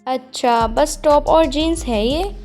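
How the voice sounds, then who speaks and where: A woman as a high-pitched scolding mother-in-law. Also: slow, darkish tone. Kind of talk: Hindi moral-story cartoon dubbing. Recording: music bed behind the voice.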